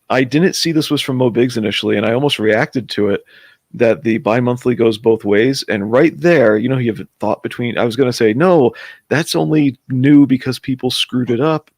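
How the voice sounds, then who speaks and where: A man's voice: medium pitch, darkish tone, no echo. A man hosting a livestream, chatting casually and rambling as he breaks off to greet viewers and put questions to his guest. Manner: rambling, breaks off to read out chat messages. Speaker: host of a comics chat livestream.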